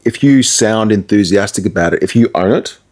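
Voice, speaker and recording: A man's voice: on the low side, lively intonation, a little fast, a little mellow. A middle-aged man, a radio presenter, giving casual, conversational advice in an interview.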